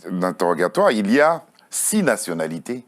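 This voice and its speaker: A man hosting a television talk show interview, asking measured, probing questions in a studio. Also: low-pitched, big pitch swings.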